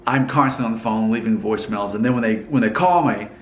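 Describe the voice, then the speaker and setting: A man's voice: fairly low pitch, quick pace, roomy sound, a little hiss or hum. A man from Alabama with a mostly neutral American accent and a faint Southern hint, musing casually to the camera and asking the viewer questions. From Alabama, USA. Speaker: vlogger.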